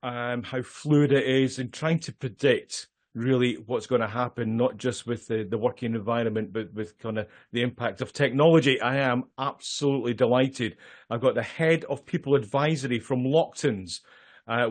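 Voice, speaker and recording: A man with a voice of middle pitch hosts the interview. His questions come out friendly and a bit halting. His tone stays relaxed and conversational. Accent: non-Australian. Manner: friendly and halting, with fillers and restarts. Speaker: host. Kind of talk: casual interview hosting.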